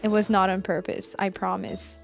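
A woman's voice: medium pitch, little pitch movement, normal speed, creaky. A woman in her twenties, a game streamer, chatting casually while she plays. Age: 20s. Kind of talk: casual live chat while gaming. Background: game music.

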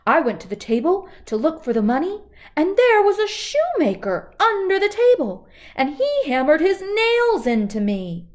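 Woman reading a scared robber man, urgent, breathless, loud, rising pitch. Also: very animated, normal speed. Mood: frightened, urgent. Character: frightened male robber telling his tale.

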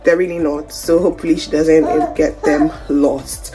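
A woman's voice: low voice, fairly even intonation, moderate pace, over music. Chatty young woman vlogging. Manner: chatty, upbeat, talks straight to viewers. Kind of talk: casual vlog to camera. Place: home kitchen indoors. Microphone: phone camera held close.

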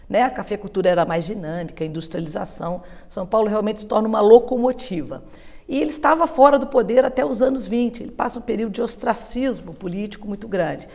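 Woman, 50s, historian guest; fluent, explanatory; lapel mic, studio reverb. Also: medium pitch. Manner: steady, fluent, explanatory, with frequent tag questions. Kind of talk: explanatory interview answers. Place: television studio.

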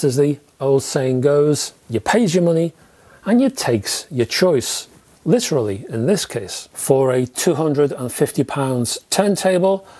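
British male reviewer, fifties, husky edge, very lively intonation, chatty and emphatic. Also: mid-range voice. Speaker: host, hi-fi reviewer. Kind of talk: presenting a product review to camera. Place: small indoor room with record shelves.

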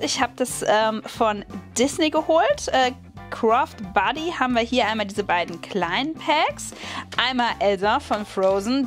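Chatty German woman, higher pitch, excited unboxing talk, faint background music. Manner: chatty, excited, many fillers. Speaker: craft channel host. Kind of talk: casual unboxing haul. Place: at a wooden table, hands on camera.

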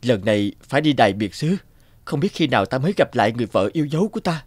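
An actor playing an upright, loving young court official and husband in a cartoon folk tale, speaking earnestly and formally. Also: male voice, medium pitch, sing-song, rapid-fire, darkish tone, close to an everyday voice. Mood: sorrowful resolve. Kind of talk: animated folk-tale dialogue.

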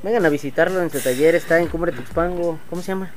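A man's voice: fairly high pitch, fast talker. Man in his thirties, Mexican accent, slangy and chatty, outdoor yard, action camera, faint music behind. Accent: Mexican Spanish. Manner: chatty, slangy, addresses viewers directly. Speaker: motovlog host. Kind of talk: casual vlog chat.

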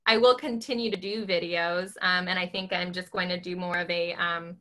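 Squeaky animated woman on a video call. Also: moderate pace. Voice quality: squeaky, high-pitched voice. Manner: animated, talks with her hands, many ums. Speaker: real estate agent. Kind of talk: casual video call chat. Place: small bedroom at home, indoors. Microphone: laptop webcam on video call.

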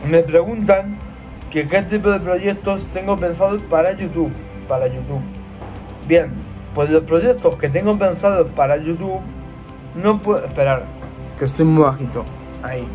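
A man's voice: fairly high pitch, moderate pace, a little mellow, low-quality recording. Chatty man on a webcam, music behind. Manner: chatty and repetitive, gesturing a lot with his hands. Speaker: vlogger answering follower questions. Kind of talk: casual Q&A vlog to camera. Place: small room indoors. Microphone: laptop webcam.

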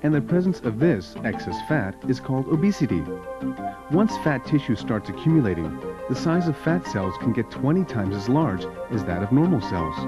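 A man's voice: mid-range voice, big pitch swings, extremely slow. A man narrating a scripted documentary as its narrator. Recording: dull lo-fi video soundtrack. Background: background music.

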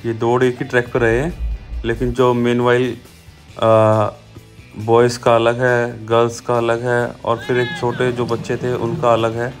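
A man's voice: medium pitch, monotone, a little slow. A man casually narrating a vlog outdoors at a street race, talking loosely about what he sees. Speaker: vlogger. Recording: loud music over the voice.

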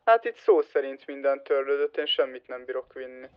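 A man's voice: medium pitch, animated, smooth, muffled recording. A man explaining things informally in casual chat during online roleplay gameplay. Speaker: gamer.

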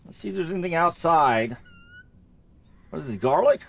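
Male gamer for casual playthrough commentary: lively swooping pitch, fry in the voice, jokey and rambling. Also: normal speed. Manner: rambling, jokey, repeats himself.

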